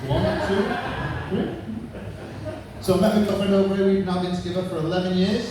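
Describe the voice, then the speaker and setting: A man's voice: sits high, little pitch movement, brisk. A man in his thirties with a Manchester accent, doing a crude, punchy stand-up set on a pub stage. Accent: Manchester English. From England. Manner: punchy crude joke delivery. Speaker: stand-up comedian. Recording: echoey room from far off, noisy.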